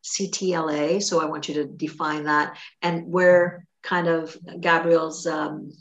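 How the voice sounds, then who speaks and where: A woman's voice: sits low, level tone, measured pace. A woman with a Trinidadian accent hosting an interview in a chatty way with asides. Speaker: podcast host.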